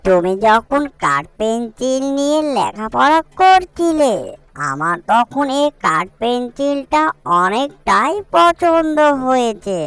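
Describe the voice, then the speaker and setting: A teasing little ghost from a cartoon, voiced by a woman, mischievous and greedy. The high voice swoops up and down at an unhurried pace, every phrase emphatic. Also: clean tone, no echo. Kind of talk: cartoon character acting.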